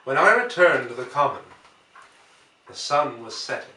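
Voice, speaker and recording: A man reading a classic novel aloud as dramatic narration, with measured, theatrical phrasing. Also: mid-range voice, expressive, pushed and bright. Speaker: audiobook reader. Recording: roomy echo, distant microphone.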